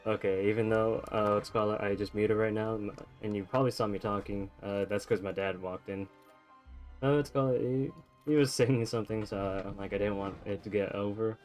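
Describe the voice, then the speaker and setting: A young man chats loosely over a video game, full of fillers and quick laughs. His voice is very deep, and soft game music plays behind him. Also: steady tone. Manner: rambling, filler-heavy, laughing a lot. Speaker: gameplay streamer. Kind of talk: casual gameplay commentary.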